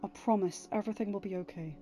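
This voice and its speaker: A woman reads the part of a heroic warrior husband in an audiobook. The tone is mellow and calm, with tender reassurance and earnest resolve. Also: mid-range voice, quick pace, soft background music, lightly acted. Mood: tender, resolute. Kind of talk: dramatised audiobook reading.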